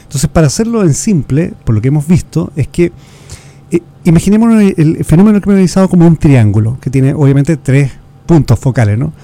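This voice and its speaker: Fast-talking Chilean man, very dark voice. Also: fairly high pitch, lively intonation. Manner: earnest, lecturing, restarts words, uses rhetorical questions. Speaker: guest expert. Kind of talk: explanatory interview talk.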